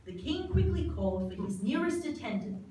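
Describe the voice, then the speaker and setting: Casting: female storybook narrator, scripted verse, slightly high, distant in a noisy, very reverberant room. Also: very animated, moderate pace, mellow. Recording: very echoey, distant, noisy live hall.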